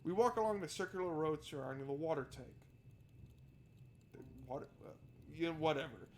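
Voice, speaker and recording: A man's voice: fairly high pitch, lively intonation, moderate pace, a little mellow. A man doing let's play commentary, reading narration aloud and adding sarcastic, exasperated asides. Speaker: video game streamer. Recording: noticeable background noise.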